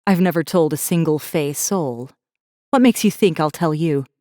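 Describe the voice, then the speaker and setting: A woman's voice: low-pitched, moderate pace, warm tone, dry recording, studio quality, close to an everyday voice. A woman playing a defiant, bitter captive rebel assassin who narrates her own story in an intense, brooding way. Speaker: audiobook narrator. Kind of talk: first-person dramatic narration.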